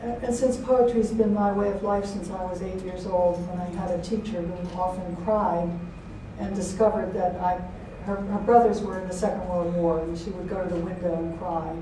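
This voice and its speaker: An older woman, an author speaking to an audience in a halting, reflective manner. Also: lowish voice, steady tone. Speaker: author and poet giving a talk. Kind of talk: informal talk and poetry reading to an audience. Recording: very echoey room, distant mic, faint noise.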